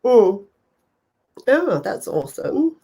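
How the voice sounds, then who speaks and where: A woman's voice: on the high side, very animated, takes it slowly, warm tone, husky. A woman hosting a live online show, chatting casually with viewers while getting over a cold, her voice stuffed up, with lots of fillers and small laughs. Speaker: host. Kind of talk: casual live-stream chat.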